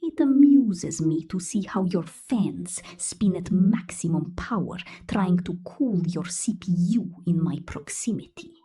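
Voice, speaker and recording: A possessive female robot drone, acted by a woman in an intimate ASMR roleplay. Her delivery is very slow and slightly low, breathy and often whispered. The tone is very mellow and dark, with a cold, menacing tenderness. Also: heavily acted.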